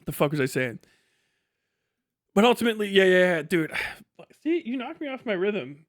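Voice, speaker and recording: Casting: male livestream host, slightly high pitch, loose sweary chatter, very dry recording. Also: very animated, normal speed, no echo. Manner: profane, rambling. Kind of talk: casual livestream chat.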